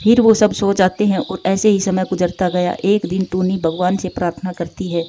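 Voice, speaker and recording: Mellow female storybook narrator. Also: lowish voice, flat delivery, normal speed, a little hiss or hum. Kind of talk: scripted story narration in Hindi cartoon.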